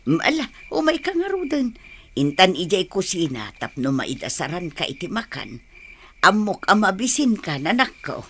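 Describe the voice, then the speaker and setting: A caring, long-suffering radio drama mother, with a lively range of pitch. Faint noise sits behind her words. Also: normal speed. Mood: tender, supportive.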